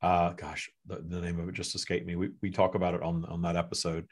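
A man hosting a podcast, talking with a guest in a relaxed, halting way. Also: low-pitched. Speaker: podcast host. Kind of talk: casual conversation.

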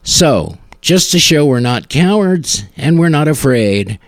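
A man's voice: fairly high pitch, big pitch swings, slow, no room sound, clean studio sound. A man hosting a music podcast, talking casually and conversationally between songs, rambling a little as he searches for words. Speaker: podcast host.

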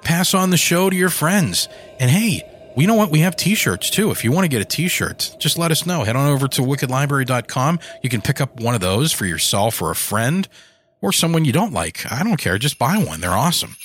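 A man's voice: mid-range voice, very animated, a little fast, fairly bright tone, a little hiss or hum. A man hosting a podcast, reading scripted outro announcements and plugs in an informal, upbeat manner. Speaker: podcast host and producer. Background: music.

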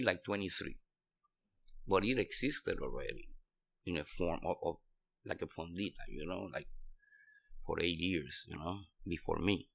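A man's voice: sits low, fairly bright tone. A middle-aged man from Puerto Rico with a Puerto Rican accent, telling his story casually and in a rambling way as an interview guest. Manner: rambling, peppered with "you know". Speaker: interview guest, restaurant owner.

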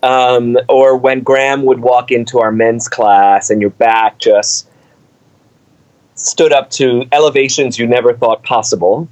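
A middle-aged man from Cuba recalls his path into dance in a loose, animated interview manner. He speaks at an ordinary pitch, often pausing on fillers as he builds his story. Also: poor audio. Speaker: artistic director of a dance company. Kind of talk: conversational interview answers.

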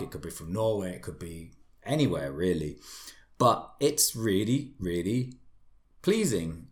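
Male podcast panelist, lowish pitch, conversational reviewer, emphatic and effusive phrasing.

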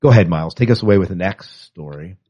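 A man's voice: deep voice, animated, quick pace, warm tone, husky. A man co-hosting a podcast, chatting casually and with a fan's enthusiasm about entertainment news, on a lo-fi recording. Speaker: podcast co-host. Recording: dull, lo-fi podcast audio.

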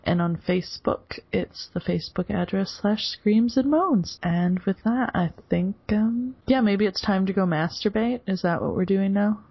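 A woman's voice: medium pitch, normal speed, creaky, hardly any pauses, husky. A woman hosting a casual podcast chat, laughing often between lines. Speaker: podcast host. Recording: dull lo-fi recording.

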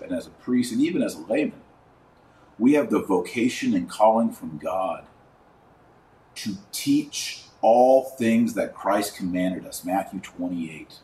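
Man, low-pitched voice, religious podcast commentary, didactic and emphatic, roomy reverberant sound, faint background noise. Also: a little mellow. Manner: emphatic, didactic, rhetorical repetition with deliberate stresses. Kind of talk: preachy commentary to camera or microphone. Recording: roomy echo, distant mic, faint noise.

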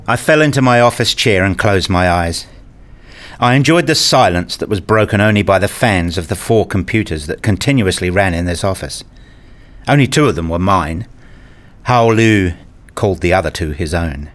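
A man reading scripted first-person narration for a radio play, telling it in a measured, literary way. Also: sits low, a bit bright.

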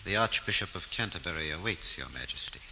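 An actor playing a deferential, officious royal Lord Chancellor with formal, courtly speech in an old-time radio drama. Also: male voice, deep voice, animated, quick pace, bright, forceful tone, full character voice. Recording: very noisy old radio recording.

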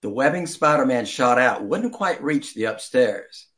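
A man reading a children's story aloud as an amateur storyteller, deliberately, with some stumbles and throat-clearing. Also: mid-range voice, expressive, a bit bright.

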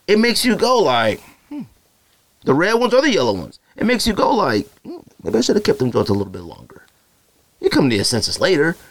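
A man hosting a podcast, talking loose and slangy from a close mic. His voice sits at an ordinary middle pitch. The sound is dry, with no room behind it. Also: dry recording. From Arizona. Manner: slangy, rambling, mimics other people with animated asides. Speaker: podcast host. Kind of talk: casual solo podcast talk.

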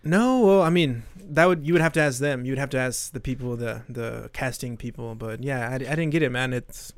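A man's voice: medium pitch, a little fast. A man in casual comedy banter, rambling and swearing, hedging with lots of fillers.

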